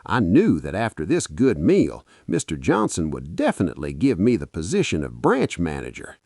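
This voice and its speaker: Nigerian man, podcast storyteller, dramatic first-person narration, deep voice, very dry close sound. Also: wildly swooping pitch, moderate pace, creaky, darkish tone, breathy edge, dry recording.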